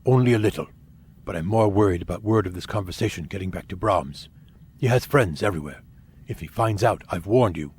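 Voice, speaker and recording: A man playing a grave, honorable alien warrior officer in an audio drama, speaking in a formal, measured and deliberate way. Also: low voice, darkish tone, a little hiss or hum, lightly acted. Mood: guarded.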